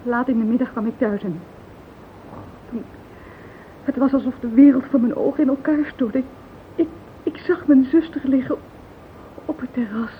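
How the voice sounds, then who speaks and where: An actress as a sorrowful, higher-voiced sister. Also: female voice, natural voice. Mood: grief-stricken. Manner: halting, sorrowful. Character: grieving sister recounting finding the body, subdued. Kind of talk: radio play acting. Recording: old tinny radio recording with hiss.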